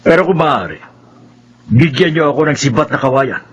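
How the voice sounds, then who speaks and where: An actor playing a determined, suspicious village man in a radio horror drama, speaking in a deliberate, suspenseful way. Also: a little high, expressive, slightly rough. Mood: resolute. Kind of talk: Filipino radio horror drama acting, narrating in first person. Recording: dull audio with loud background noise.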